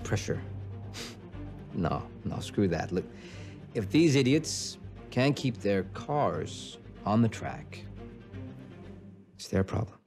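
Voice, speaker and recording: An actor playing a brash, arrogant racing driver who talks in a blunt, dismissive way. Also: male voice, fairly low pitch, lively intonation, takes it slowly, vocal fry, pauses often, heavily acted. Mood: defiant, scornful. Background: music.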